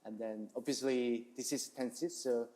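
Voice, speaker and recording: A man's voice: mid-range voice, steady tone, moderate pace, warm tone. A man from South Korea with a Korean accent, talking casually as a chef being interviewed, in hesitant, non-native English phrasing. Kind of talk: casual interview, telling their story.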